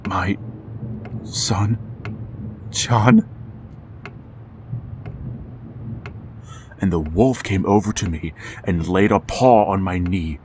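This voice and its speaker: A man's voice: low-pitched, slow, darkish tone, a little hiss or hum. A man acting a grieving father who narrates and pleads with his returned son in a radio drama, with a stammering, emotional delivery. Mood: haunted.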